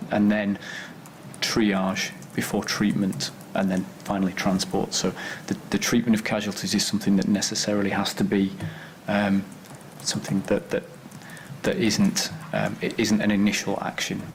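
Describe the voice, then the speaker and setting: A man giving formal testimony as a paramedic, halting and methodical. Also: fairly low pitch, monotone, a little hiss or hum. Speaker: paramedic giving inquiry evidence.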